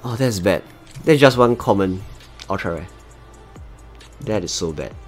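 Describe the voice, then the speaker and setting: Man, Singaporean accent, low-pitched voice, casual game livestream host, chatty, talking to viewers, music behind. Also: expressive, unhurried. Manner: chatty, talks to viewers as he plays. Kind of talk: casual live game-stream chat.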